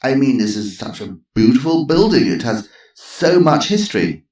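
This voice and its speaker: A man voicing a fussy, flustered older gentleman who draws out his words. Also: medium pitch, very animated, moderate pace, pitched above the performer's own voice, natural voice. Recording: roomy echo.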